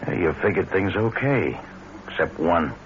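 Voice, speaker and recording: An actor with an American accent playing a wary small-time blackmailer in a vintage radio crime drama, talking tough and terse. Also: male voice, low voice, expressive, normal speed. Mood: grudgingly interested. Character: suspicious small-time male crook and blackmailer. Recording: muffled, noisy old radio recording.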